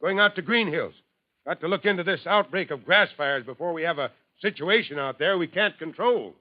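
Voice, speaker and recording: A businesslike fire chief talks in clipped phrases, played by an actor in a 1950s radio drama. His voice has a middle pitch for a man. The old recording sounds dull. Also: fairly quick, lightly acted.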